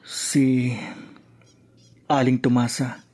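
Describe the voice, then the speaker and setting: A man playing a troubled, hesitant young son in a Filipino radio drama, with a Filipino accent and husky voice. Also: mid-range voice, flat delivery, very slow, a little mellow. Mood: troubled, confiding.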